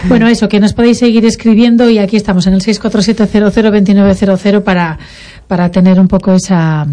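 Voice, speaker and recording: A woman hosting a local radio show, lively as she reads out listener messages. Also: mid-range voice, quick pace. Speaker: radio host. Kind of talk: casual radio chat.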